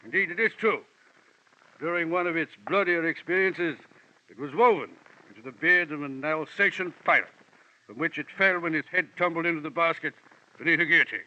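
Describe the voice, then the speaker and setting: An actor playing a pompous, portly, suavely menacing gem crook in an old-time radio drama, speaking in florid, formal, wordy phrases. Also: male voice, fairly high pitch, a little fast, sharp tone, dull audio. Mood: pompous, relishing.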